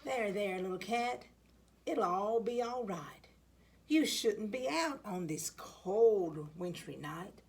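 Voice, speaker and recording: A woman's voice: mid-range voice, animated, takes it slowly, vocal fry, darkish tone. A woman reader voicing a kindly storybook stranger who soothes a lost little cat with reassuring phrasing.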